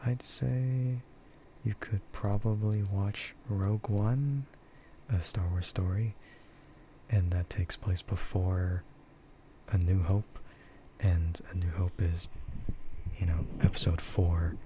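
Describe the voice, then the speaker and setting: A man with a low, soft voice chats in an easygoing ASMR style. He rambles hesitantly at a slow pace and often drops into a whisper. The audio is muffled, with clear noise behind him. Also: steady tone. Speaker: VTuber streamer. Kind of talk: soft ASMR casual chat.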